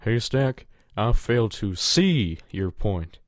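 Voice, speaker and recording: A man's voice: lowish voice, sing-song, slow pace, no room sound. A man playing a stubborn, easygoing farm pony with a folksy American Southern drawl in a fan audio drama.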